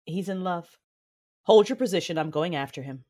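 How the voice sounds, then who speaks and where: A woman's voice: fairly low pitch, moderate pace, a little mellow, lightly acted. An actress playing a vengeful, grieving Sith lord and mother, narrating an audio drama with intense, commanding delivery. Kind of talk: first-person audio drama narration and dialogue. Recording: voice over prominent music bed.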